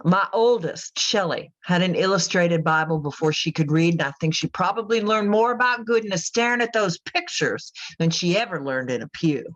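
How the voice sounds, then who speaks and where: An actress playing a world-weary, religious middle-aged mother in an audio drama, plainspoken, measured and folksy. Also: fairly low pitch, expressive, highs cut off, close to an everyday voice. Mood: wistful, weary. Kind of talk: naturalistic podcast radio drama acting.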